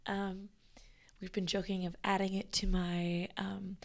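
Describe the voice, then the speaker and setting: A woman hosting a podcast, her voice hoarse and raspy after losing it. She talks in a halting, breathy half-whisper, and a gravelly fry runs through her mid-range voice. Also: medium pitch, level tone. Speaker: podcast host. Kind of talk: casual reflective monologue.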